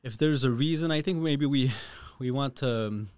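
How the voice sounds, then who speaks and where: A man hosting a casual interview, talking in halting phrases with many restarts. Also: medium pitch, darkish tone, smooth, dry recording. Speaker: podcast host.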